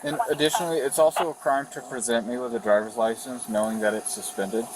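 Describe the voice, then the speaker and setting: A man's voice: medium pitch, fairly even intonation, fast, over a noisy crowd. An American man working as a police officer, explaining things procedurally during a roadside stop. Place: outdoors at a roadside traffic stop. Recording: noisy outdoor police bodycam audio.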